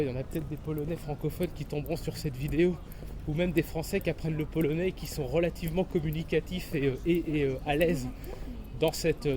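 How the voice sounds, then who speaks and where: A man's voice: on the high side, mellow. A young man casually vlogging while he walks through a city, rambling in crude slang. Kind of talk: casual walking vlog. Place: city streets outdoors. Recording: outdoor street noise. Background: traffic and street noise.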